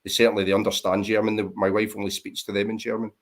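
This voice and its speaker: A man's voice: lowish voice, flat delivery, racing. A man with a Scottish accent, chatting casually and self-deprecatingly as a guest on a livestream. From Scotland. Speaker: guest on panel. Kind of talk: casual chat.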